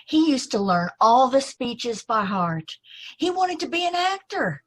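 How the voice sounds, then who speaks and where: Husky, earnest young woman played by an actress. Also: high-pitched, big pitch swings, measured pace, exaggerated voice. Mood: earnest, hopeful. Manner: earnest, hesitant, polite. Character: earnest, anxious, polite young woman seeking her lost brother. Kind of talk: amateur old-time radio play acting.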